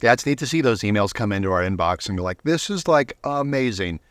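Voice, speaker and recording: A man speaking casually in a conversational interview, telling things emphatically with some halting phrasing. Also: lowish voice, animated, dry recording.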